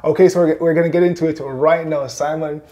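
Male host, 30s, light-pitched but mellow, relaxed interviewer. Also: quick pace. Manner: upbeat presenter intro, then relaxed prompting. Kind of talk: interview hosting. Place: small room with bookshelf, indoors.

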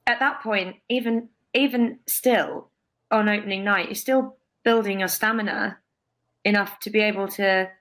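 A young woman, a guest telling emphatic, expressive stories over a video call from a bright room. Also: mid-range voice. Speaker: guest, stage performer. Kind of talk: casual interview.

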